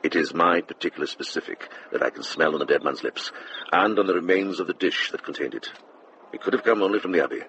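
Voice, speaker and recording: An actor playing a calm, shrewd, firm middle-aged monk herbalist in a radio period drama. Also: male voice, low-pitched, quick pace, gravelly, highs cut off, slight background noise, heavily acted. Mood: certain, measured.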